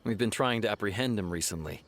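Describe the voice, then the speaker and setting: A man's voice: on the low side, quick pace, a bit bright, a little hiss or hum. A man voicing a stern, businesslike city officer in a video game, speaking matter-of-factly. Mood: firm.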